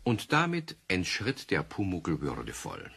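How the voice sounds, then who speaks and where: A man narrating a children's radio play as a storyteller, talking conversationally to young listeners with gentle, wry amusement. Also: low voice, huge pitch swings, a little slow, breathy edge, heavily acted. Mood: wry, friendly.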